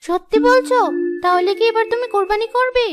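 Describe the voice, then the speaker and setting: A woman's voice: extremely high pitch, brisk. A woman voicing a sad, insistent young village girl in a cartoon, plaintive and questioning. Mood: pleading. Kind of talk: cartoon dialogue dub. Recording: music under the voice.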